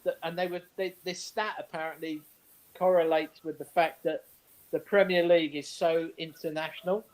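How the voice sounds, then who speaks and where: Male sports panellist, high-pitched voice, casual and jokey banter. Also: steady tone, normal speed, poor audio.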